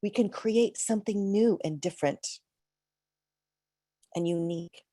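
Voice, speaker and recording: A middle-aged woman minister giving a reflective, conversational sermon with pauses, a little congested from a cold. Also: medium pitch, warm tone, no room sound, studio quality.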